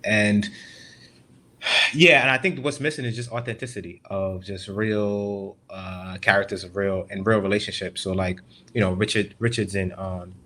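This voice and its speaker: A man's voice: on the low side, steady tone, moderate pace, pushed and bright. A Haitian American man on a video-call panel, talking casually with many fillers. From United States. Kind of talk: panel discussion.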